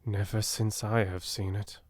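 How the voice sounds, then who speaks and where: A gentle Indian manservant from a storybook, voiced by a male reader. He is humble and devoted, with a measured, deferential way of speaking and an air of quiet mystery. The sound is dry and close. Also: lowish voice, little pitch movement, dry recording.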